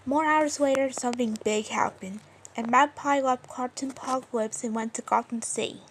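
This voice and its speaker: A woman's voice: on the high side, normal speed. A woman casually narrating a homemade story as a video creator, hesitant and repeating her phrases. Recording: faint background noise.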